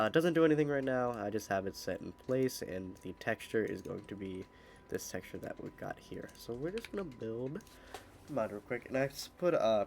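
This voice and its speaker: A man's voice: fairly low pitch, slight background noise. A young man hosting a coding tutorial, explaining step by step with frequent "uh"s, his voice slightly off after being sick. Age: young adult. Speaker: modding tutorial host. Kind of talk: screen-recorded coding tutorial.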